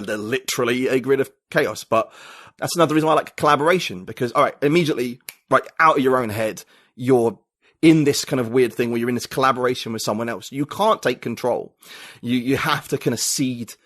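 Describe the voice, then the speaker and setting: A British man, a writer and podcast guest, talking in a reflective, halting way with many restarts. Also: mid-range voice, no room sound, studio quality. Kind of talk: casual conversation.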